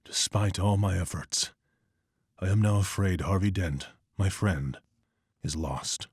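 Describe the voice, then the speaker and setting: A very deep, husky male voice, close and dry. He is a grim masked vigilante in an animated comic drama, narrating in terse, measured, somber phrases. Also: no echo, heavily acted. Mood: grim, brooding.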